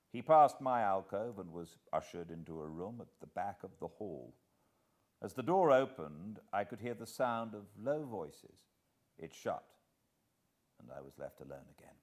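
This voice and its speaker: Deep, slow British male storyteller. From Britain. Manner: measured, expressive storytelling with dramatic pauses. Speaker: audiobook narrator. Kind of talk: dramatic literary audiobook narration.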